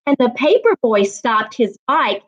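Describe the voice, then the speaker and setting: A woman reading aloud and teaching as a storytime reading coach, with deliberate, emphatic phrasing meant for young listeners. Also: on the high side, big pitch swings, lo-fi sound. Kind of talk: expressive children's read-aloud and teaching.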